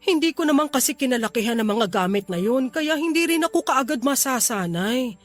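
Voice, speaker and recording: Old forgetful mom in radio drama, high voice, meek n apologetic, bit of music behind. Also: female voice, lively intonation, brisk. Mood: meek, defensive. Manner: hesitant, pleading, meek. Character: forgetful, apologetic old mother, humble and flustered. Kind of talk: Tagalog radio drama acting.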